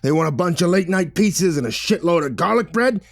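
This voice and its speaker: A man voicing a gruff, bossy, menacing movie backer with a rumbling, slangy delivery in an audiobook. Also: animated, quick pace, no echo, studio quality. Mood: emphatic, bossy. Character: Victor, gruff menacing male mob-style movie backer. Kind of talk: audiobook character voice.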